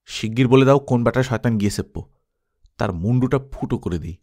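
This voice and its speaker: A man voicing a hot-headed male adventurer in an audiobook, gruff, grumbling and threatening. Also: medium pitch, a little fast, mellow, lightly acted. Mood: menacing.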